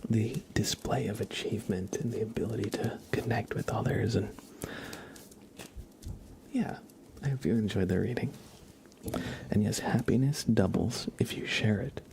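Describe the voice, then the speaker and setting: A man hosting an ASMR livestream, giving card readings in a gentle, halting way as he thinks aloud. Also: lowish voice, vocal fry, slight background noise. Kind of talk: whispered ASMR, casual tarot card reading.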